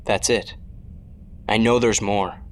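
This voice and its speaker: A man's voice: lowish voice, steady tone, slow pace. A man playing a determined, sardonic young vigilante in an audio drama, dry and insistent.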